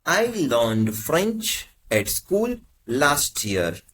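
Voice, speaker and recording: Very slow, lively-pitched male teacher. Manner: measured, carefully spaced phrases. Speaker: language teacher. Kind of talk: slow, deliberate lesson prompts.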